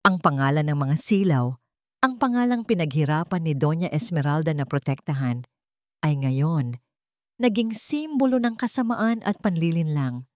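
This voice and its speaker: A woman's voice: low voice, animated, normal speed, no echo, studio quality. A woman narrating a scripted story in a dramatic storytelling style.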